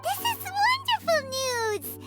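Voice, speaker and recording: A woman voicing a tiny, bubbly childlike fairy girl companion in a video game, squeaky and exclamatory. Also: big pitch swings, takes it slowly, full character voice. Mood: eager. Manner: bubbly, exclamatory, refers to herself by name. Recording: studio voice over loud music.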